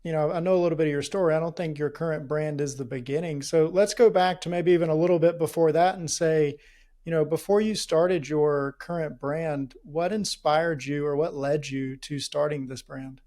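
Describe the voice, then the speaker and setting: Male podcast host, casual hesitant questioner, warm mellow tone. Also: fairly high pitch, fairly even intonation, normal speed. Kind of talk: interview questions.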